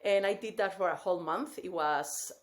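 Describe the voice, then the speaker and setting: A woman in her forties from Mexico with a Mexican Spanish accent, a guest telling her story in a lively way on a podcast. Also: medium pitch, normal speed. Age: 40s. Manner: lively storytelling with frequent laughs. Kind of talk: podcast interview.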